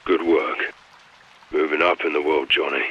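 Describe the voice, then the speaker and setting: An actor playing a stoic, dry-witted special forces lieutenant in a video game, speaking tersely and deadpan over military radio. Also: male voice, a bass voice, normal speed, dull audio, a little hiss or hum. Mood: terse, calm.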